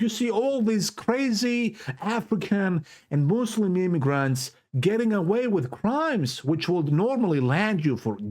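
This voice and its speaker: Fervent Greek Cypriot man preaching slowly. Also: high-pitched, a little mellow. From Cyprus. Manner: fervent and preachy, repeats words for emphasis. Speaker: vlogger. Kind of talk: impassioned political monologue to camera. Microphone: self-recorded camera setup.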